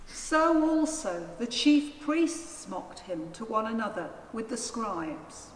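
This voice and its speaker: Female Gospel narrator: measured, highish voice, widely varied pitch, echoing church. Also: sing-song. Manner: measured, deliberate. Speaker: scripture reader, narrator. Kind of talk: solemn dramatised Gospel narration. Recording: echoey church hall, faint noise.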